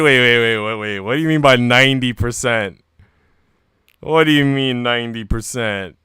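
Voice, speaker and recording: A man's voice: medium pitch, bright, forceful tone, smooth, dry recording. A man live streaming, reading a visual novel aloud and dropping into casual, slangy asides to his chat. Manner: informal, slangy, chatty.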